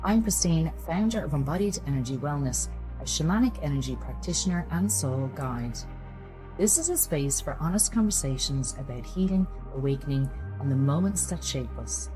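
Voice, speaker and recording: A woman hosting a healing podcast, soft and affirming, speaking gently to her guest and listeners. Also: low-pitched, expressive, moderate pace, faint music behind, rough recording. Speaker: podcast host and energy practitioner. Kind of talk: gentle scripted intro, then casual chat.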